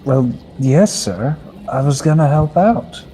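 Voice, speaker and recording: A man's voice: a little high, expressive, takes it slowly, warm tone. A young man playing a drowsy, good-natured adventurer with a folksy, apologetic manner in an old-time radio serial. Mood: sheepish. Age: young adult. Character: groggy, sheepish, good-natured male adventurer just woken. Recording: faint background noise.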